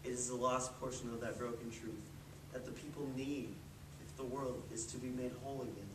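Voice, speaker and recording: A man playing a wise, gentle, ancient turtle in a storybook readers theatre piece, speaking in a solemn, measured way. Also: mid-range voice, steady tone, close to an everyday voice. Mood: patient, reassuring. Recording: roomy, distant mic with clear noise.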